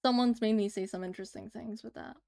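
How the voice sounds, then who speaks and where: A woman's voice: a little high, steady tone, quick pace, creaky, darkish tone. A woman livestreaming as she draws, chatting casually and giggling as she answers her chat. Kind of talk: casual chat while drawing on stream.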